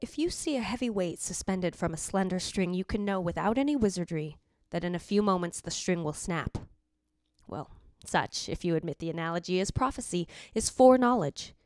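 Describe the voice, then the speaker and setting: A woman playing the jealous, brooding ghost of a dead wife, narrating in a deliberate, literary old-time radio drama style. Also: lowish voice, expressive, darkish tone, no echo, close to an everyday voice. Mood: grave, knowing.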